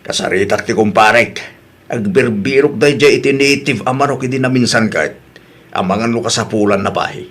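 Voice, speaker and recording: A man's voice: medium pitch, a little fast, fairly bright tone, natural voice. A man with an Ilocano accent playing an easygoing rural father-in-law in an audio drama, chatting in a folksy way. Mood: cheerful, scheming.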